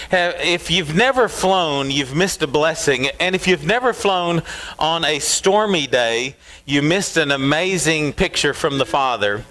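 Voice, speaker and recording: A middle-aged pastor, preaching to his congregation in a fast, earnest way. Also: on the high side, rough recording. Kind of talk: sermon introduction. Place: wooden-walled church hall with a band. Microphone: stand mic, wide camera from the pews. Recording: loud live band music. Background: live country band guitars.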